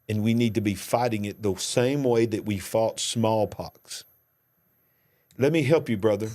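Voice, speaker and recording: American guy hosting a reaction show, normal pitch, kinda preachy and jokey. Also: darkish tone. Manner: emphatic, joking, preacherly. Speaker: host. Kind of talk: casual reaction talk show.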